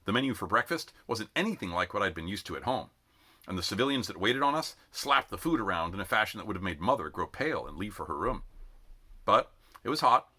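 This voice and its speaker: A man reading a novel aloud as an amateur narrator, in an expressive, dramatic audiobook style. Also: fairly low pitch, quick pace. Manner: animated, dramatic phrasing.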